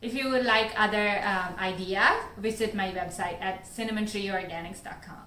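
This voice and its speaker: Woman from Sri Lanka, small-business founder, earnest and measured delivery, mid pitch, echoey room. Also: pushed and bright. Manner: earnest, measured explanations with occasional fillers. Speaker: spice company founder. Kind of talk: scripted-sounding interview answers.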